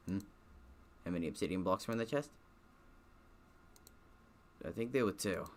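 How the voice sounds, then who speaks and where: A man's voice: low-pitched, lively intonation, moderate pace. A man voicing a casual, breezy young male adventurer in a block-building video game. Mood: casual, musing.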